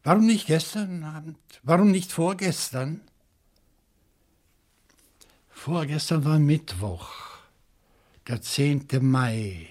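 Old bookish bachelor in a radio crime play, slow careful talker, bit husky. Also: male voice, a little high, animated, a little mellow. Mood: probing, careful. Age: older. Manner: deliberate, thoughtful, with hesitant pauses. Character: bookish, lonely old French bachelor, probing and cautious.

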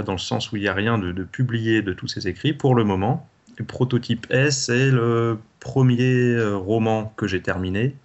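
A male interview guest with a slightly low voice chats casually. He is polite and hesitant, stopping often mid-sentence, and the sound is a little dull. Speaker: interview guest, amateur novelist.

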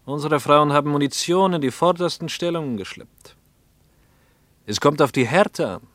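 An actor playing a hard, proud Soviet party secretary in a radio play, clipped and severe like a judge. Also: male voice, on the high side, moderate pace, fairly bright tone, no echo, close to an everyday voice.

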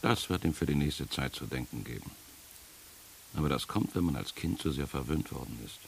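An actor playing a sardonic guide of the dead in a radio play, speaking in a wry, gravelly, unhurried and instructing way. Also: male voice, rumbling low. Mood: matter-of-fact. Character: sardonic male guide leading the dead through trials. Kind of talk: 1980s German radio play acting. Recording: hissy early-1980s radio recording.

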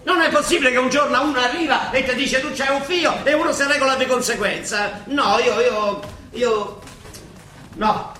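An actor playing a blunt, ironic, weary monsignor with a Roman accent in a theatrical radio drama. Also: male voice, very high-pitched, normal speed, sharp tone, reverberant, a little hiss or hum. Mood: alarmed, flustered. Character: gruff, sardonic, weary Roman monsignor and judge. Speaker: stage actor.

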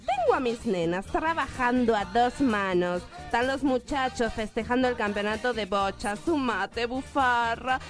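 Brazen, slow-drawling Argentine brothel madam, played by a woman. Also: a little high, lively intonation, faint music behind. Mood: cajoling, defiant. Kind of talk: satirical radio theatre acting.

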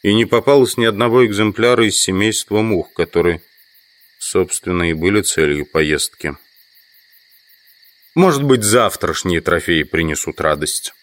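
An actor playing a weary, educated insect collector, thinking aloud in a halting inner monologue for an audio drama. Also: male voice, deep voice, expressive, no echo. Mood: musing, disappointed.

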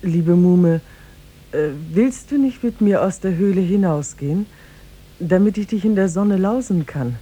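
A woman's voice: on the low side, measured pace, warm tone. An actress playing a resourceful young slave woman in a 1950s radio drama. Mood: sweetly coaxing. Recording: vintage 1950s radio recording.

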